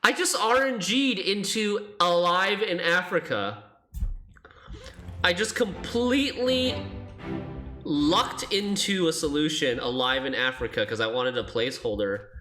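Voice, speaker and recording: Streamer talking thru a puzzle game, bright voice, swoops up and down a lot, slow and chatty. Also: medium pitch, soft background music. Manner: thinks aloud, animated, self-deprecating. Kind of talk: live gameplay commentary.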